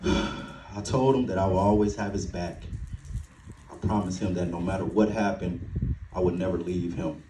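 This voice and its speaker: A man's voice: sits low, steady tone, normal speed, pauses often, lightly acted. Man acting Peter, earnest disciple in echoey room. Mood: ashamed. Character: Peter, remorseful male disciple, earnest and redeemed. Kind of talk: dramatic Easter monologue before a congregation. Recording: roomy, distant mic, faint noise.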